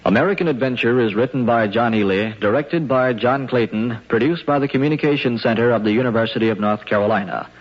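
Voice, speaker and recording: A man reading scripted credits as a formal, measured 1950s radio announcer. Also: medium pitch, slight background noise. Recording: dull 1950s radio recording, music behind. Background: music.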